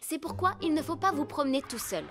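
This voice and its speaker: High female voice, caring teacher, instructive, addressing children, cartoon dub, music behind. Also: big pitch swings, a little fast.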